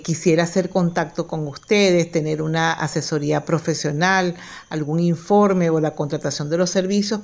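A woman's voice: sits low, darkish tone. A woman hosting a radio interview in a polite, formal manner. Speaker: radio host.

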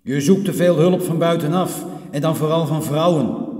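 An actor playing a stern, solemn prison chaplain in a radio play, speaking gravely and with measured admonishment. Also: male voice, a little high, mellow, natural voice. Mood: grave, admonishing. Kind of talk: Dutch radio drama acting. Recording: echoey church hall, distant from microphone.

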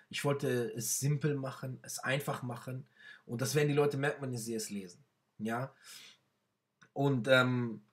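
A young man whose first language is not German, presenting his own book in a casual talk to camera from a small bedroom, hesitant with many fillers and restarts. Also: mid-range voice.

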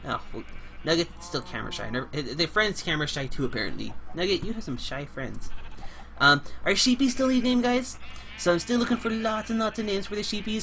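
A young man hosting a casual game let's play, playful and chatty, getting over a cold and clearing his throat now and then. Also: sits high, fairly bright tone, a little hiss or hum. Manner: playful, chatty, talks to animals and co-player in a cutesy way. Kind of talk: casual gameplay commentary.

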